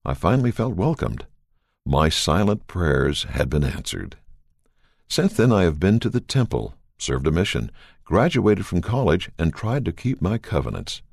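A man reading a first-person story aloud in a steady, earnest, measured way, recorded close to the microphone. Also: a bass voice, creaky, no echo. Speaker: reader.

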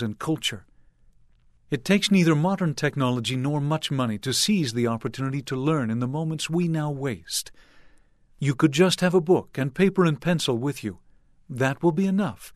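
An older man delivers a sermon in an earnest, measured voice and lets each phrase settle before the next. His pitch sits in an ordinary middle range. The sound is dry and close, with no room around it. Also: no echo. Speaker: church leader.

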